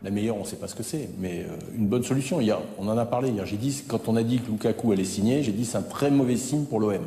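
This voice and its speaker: A man's voice: on the low side, quick pace, dark tone, reverberant, in a large hall. A man arguing informally as a football pundit. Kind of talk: informal sports debate.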